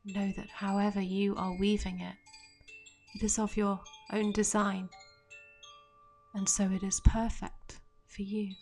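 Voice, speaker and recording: A woman leading a guided meditation in a soft, soothing, gentle voice, leaving long pauses and trailing off breathily at the ends of phrases. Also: medium pitch, flat delivery, gravelly. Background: soft meditation music with chimes.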